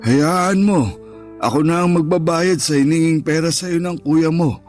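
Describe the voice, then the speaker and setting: An actor in a Filipino radio drama playing an apologetic, gentle older father with a meek manner and a Filipino accent. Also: fairly high pitch, unhurried, faint music behind, natural voice. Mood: conciliatory, serious. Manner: meek, conciliatory.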